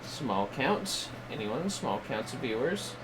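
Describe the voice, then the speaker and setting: A man hosting a game livestream, chatting casually and haltingly as he muses to himself. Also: mid-range voice, sing-song, noisy background. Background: music.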